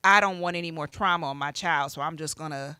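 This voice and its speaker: A woman's voice: low-pitched, fast, pushed and bright, clean tone, no room sound. A woman speaking as a panelist in a casual radio discussion. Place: radio studio.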